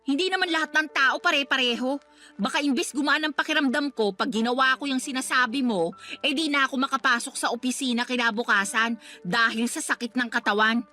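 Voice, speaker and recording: A feisty young fiancée in a radio drama. Her voice is high and piercingly bright, with a combative, sarcastic edge. Faint music runs underneath. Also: brisk. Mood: indignant. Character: feisty, sharp-tongued young fiancée, defiant and complaining. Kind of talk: Tagalog radio drama acting.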